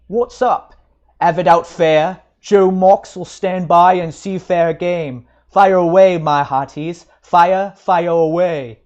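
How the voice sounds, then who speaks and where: A woman's voice: fairly low pitch, steady tone, slow, lo-fi sound, pitched above the performer's own voice. A woman voicing a big, drunken, loutish brute of a man with thick, blustering speech in a story reading. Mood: boisterous, drunken. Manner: thick, slurred, loud bluster. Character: massive, drunken, loutish male brute from gin shop.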